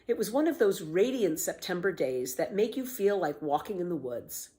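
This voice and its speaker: A woman reading a children's story aloud in an expressive, careful storytelling manner. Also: lowish voice, moderate pace.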